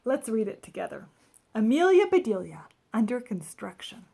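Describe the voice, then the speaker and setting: A woman teacher reading a children's story aloud expressively, pausing to explain in an emphatic way. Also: fairly high pitch, big pitch swings, vocal fry, dark tone, husky.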